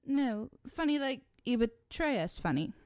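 A woman's voice: high voice, sing-song, measured pace, darkish tone, no room sound, studio quality. A woman playing an impatient, haughty elf leader with a commanding, melodramatic manner in a comedic fantasy audio drama. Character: imperious, impatient elf lady leading adventurers, female.

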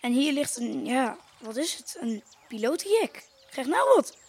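A woman's voice: high-pitched, sing-song, unhurried, breathy edge, full character voice. A woman voicing an eager, cheeky farm boy in a wartime audio drama. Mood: excited, cheeky. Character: cheeky, excitable farm boy out with his dad.

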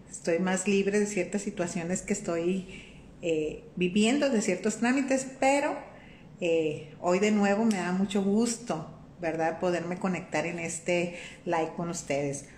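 A Mexican woman hosting a faith-based live stream. She talks in a warm, conversational way, greets viewers by name and often checks in with "¿verdad?". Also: fairly low pitch. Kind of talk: casual live-stream talk on faith and life. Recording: roomy echo with faint room noise.

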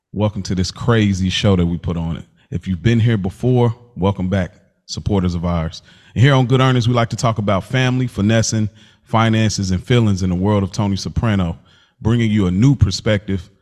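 A man's voice: lowish voice, normal speed, darkish tone. A man hosting a podcast in a casual, easygoing, slangy chat style. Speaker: podcast host.

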